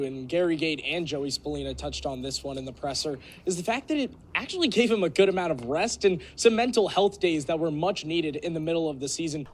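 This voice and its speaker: A man working as a sports reporter and announcer, delivering game news and commentary in a punchy, emphatic way. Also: on the high side.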